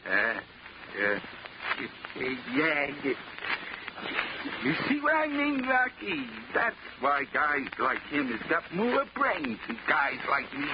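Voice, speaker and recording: Dumb cocky gangster, old radio, highish voice, muffled and hissy. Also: male voice, huge pitch swings, takes it slowly, full character voice. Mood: dim, agreeing. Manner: tough, informal. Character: cocky tough male hoodlum, dim but menacing. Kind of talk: 1940s radio gangster acting. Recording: dull noisy old radio recording.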